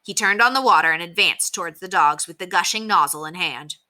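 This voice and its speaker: A woman, a teacher, reading a children's chapter book aloud with expressive storytelling. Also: on the low side, a little fast. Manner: expressive storytelling with softer narration.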